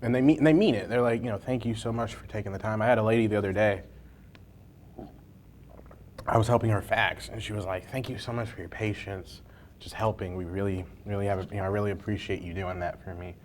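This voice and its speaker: Low-pitched man hosting casual interview. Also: steady tone, quick pace, a little mellow, a little hiss or hum. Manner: informal, colloquial, jokey. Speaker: interview host, library information desk worker. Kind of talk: casual chat during a hot sauce challenge interview.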